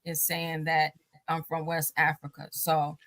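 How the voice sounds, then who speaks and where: A woman's voice: low voice, level tone, measured pace, a bit bright, dry recording. A married woman, a guest on a talk show, speaking casually in a rambling way with many fillers and restarts, and often laughing as she talks. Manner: laughs often while talking.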